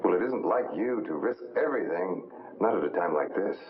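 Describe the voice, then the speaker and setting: An actor playing a suave, wry American crime-fighter with secret powers in a 1950s film drama. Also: male voice, deep voice, expressive, fairly quick. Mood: courteous, probing. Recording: tinny, noisy old film soundtrack.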